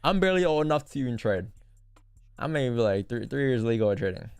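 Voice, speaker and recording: A man's voice: medium pitch, very animated, fairly quick, a little mellow, clean tone, no room sound, soft background music. A man in his twenties hosting a live stream, laid-back in casual banter, often restarting his sentences. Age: 20s. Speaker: live trading stream host.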